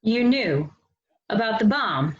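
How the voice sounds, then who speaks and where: A woman's voice: a little high, very animated, takes it slowly, highs cut off. A woman at a script table read playing an earnest, worried young female team member. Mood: questioning.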